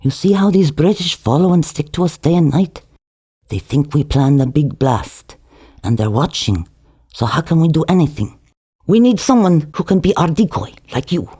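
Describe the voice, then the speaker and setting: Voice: mid-range voice, warm tone, slightly rough, natural voice. A narrator putting on a scheming, wheedling militant man. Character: scheming, wheedling male Middle Eastern militant café worker.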